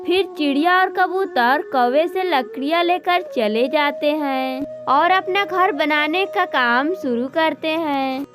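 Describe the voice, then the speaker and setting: A woman's voice: sits high, takes it slowly, hardly any pauses. A woman reading a scripted children's story as narrator, with music playing behind her.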